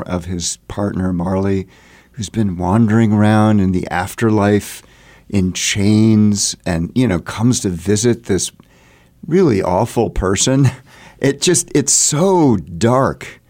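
A man answering interview questions conversationally, haltingly searching for his words. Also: lowish voice, a little mellow. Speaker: interview guest, theatre artist.

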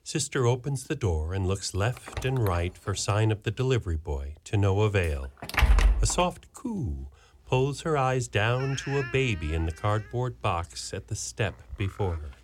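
A man narrating a radio play in a steady storytelling manner. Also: lowish voice, a little slow, noisy background. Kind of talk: scripted narration.

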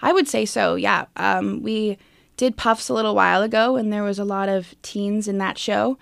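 A woman's voice: medium pitch, fairly even intonation, moderate pace, creaky, dry recording, studio quality. A woman being interviewed, giving casual, plainspoken explanations as a guest. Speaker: interview guest, theater youth board leader.

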